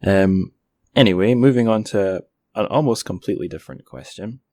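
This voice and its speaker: A man's voice: on the low side, moderate pace, warm tone, no echo, studio quality. A young man hosting a podcast interview in an informal, self-deprecating way. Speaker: podcast host. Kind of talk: casual interview.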